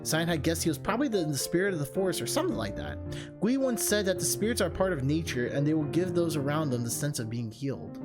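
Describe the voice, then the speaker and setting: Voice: mid-range voice, lively intonation, fast. Streamer narrating over music. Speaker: video game streamer, host. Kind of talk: reading a visual novel aloud, casual vlog chat.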